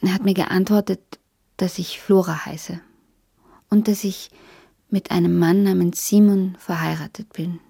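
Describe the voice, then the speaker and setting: A detached, breathy-voiced, stubborn woman. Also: on the low side, fairly even intonation, a little slow, mellow, no room sound, studio quality, exaggerated voice. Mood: reflective, dazed. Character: detached, stubborn woman applicant who lost her sense of self. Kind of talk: radio drama acting.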